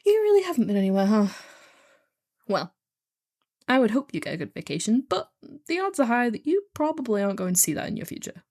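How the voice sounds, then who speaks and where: A woman's voice: fairly high pitch, big pitch swings, brisk, pauses often, a little mellow. Female actor as heartless female kidnapper for hire, audio roleplay: bored, snarky, casual, with swooping intonation. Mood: wry, nonchalant. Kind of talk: scripted one-sided audio roleplay drama.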